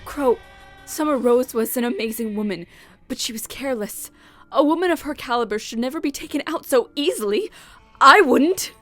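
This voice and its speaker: A woman's voice: high-pitched, expressive, normal speed, a bit bright, no room sound, soft background music. A woman playing an earnest, anguished young heiress in love, pleading emotionally in a fan comic dub. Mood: defiant.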